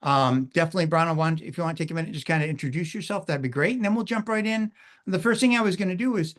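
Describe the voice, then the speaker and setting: Middle-aged male host; easygoing, anecdotal delivery, voice on the higher side. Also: racing. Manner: chatty and informal, anecdotal asides. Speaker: webinar host. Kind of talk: casual webinar chat.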